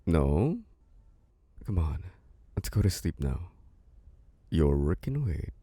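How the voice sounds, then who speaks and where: A devoted, protective boyfriend, played by a man, coaxes a tired partner toward sleep in an intimate ASMR roleplay. His voice is low and mellow, recorded close and dry. He murmurs tender reassurance in soft, breathy tones. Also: sing-song, slow, dry recording, exaggerated voice. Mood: gently pleading.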